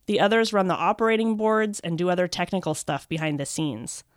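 A woman's voice: lowish voice, a little fast, background noise. A woman reporter reading scripted narration for a radio feature. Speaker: education reporter and podcast host.

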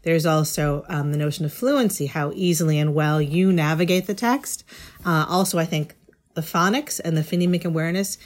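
A woman's voice: deep voice, moderate pace. A woman reporter giving conversational, explanatory answers in an interview. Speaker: education reporter, guest.

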